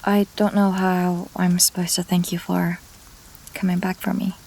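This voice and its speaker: A woman's voice: on the low side, flat delivery, moderate pace, vocal fry, a little mellow, rough recording. A woman playing a vulnerable young apprentice mage in a fantasy audio drama, speaking hesitantly and sincerely. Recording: music and rain behind the voice. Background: music, rain.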